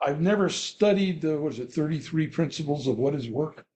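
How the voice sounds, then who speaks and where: A man's voice: fairly high pitch, expressive, fairly quick, a little mellow. A man in a class discussion, earnest and thinking aloud with restarts. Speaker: class participant.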